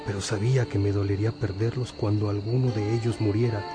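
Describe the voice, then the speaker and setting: Guy acting wise old priest in a radio drama, low voice, patient teacher, music behind. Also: steady tone, brisk, lightly acted. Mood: reflective. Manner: reflective, didactic.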